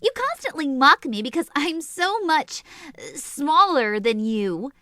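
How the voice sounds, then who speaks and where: Sharp, very high, close and dry: small teenage sorceress with a short fuse, sarcastic anime comedy teasing played by a woman. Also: very animated, measured pace, no room sound, exaggerated voice. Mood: sly, teasing. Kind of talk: comedic anime fan audio drama acting.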